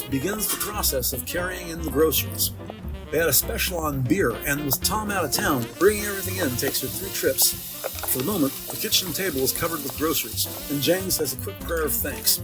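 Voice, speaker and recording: A man reading scripted audio drama narration in a measured, detailed storytelling manner. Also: on the high side, rough recording. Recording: music behind the voice.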